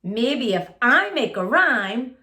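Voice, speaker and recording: A woman's voice: a little high, big pitch swings, slow, sharp tone, reverberant, heavily acted. A woman voicing an eager, well-meaning young boy shark from a children's storybook, bouncy and exclaiming. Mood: excited.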